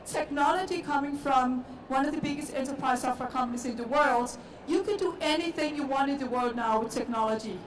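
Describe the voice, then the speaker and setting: Danish woman, high voice, echoey hall. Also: a little fast. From Denmark. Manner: earnest, repetitive, emphatic. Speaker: panelist. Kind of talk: panel discussion. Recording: echoey hall, distant mic, noisy.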